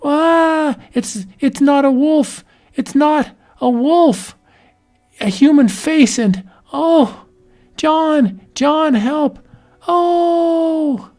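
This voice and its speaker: A woman's voice: fairly low pitch, expressive, a little slow, warm tone, faint music behind, pitched above the performer's own voice. A man voicing a frightened, nervous young woman in a read-aloud horror story. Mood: terrified.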